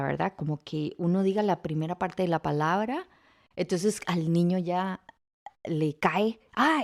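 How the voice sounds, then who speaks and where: A woman hosting a podcast interview, gently prompting her child and offering words when she gets stuck. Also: fairly low pitch, gravelly. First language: Spanish. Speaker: podcast host, mother.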